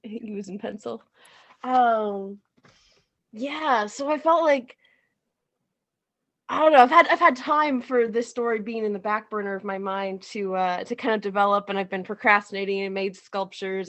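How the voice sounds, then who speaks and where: Halting, casual woman in a dry recording. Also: medium pitch, fairly even intonation, fairly bright tone, no echo. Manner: hesitant, halting, thinking aloud. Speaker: playwright being interviewed. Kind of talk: casual interview chat. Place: remote video call.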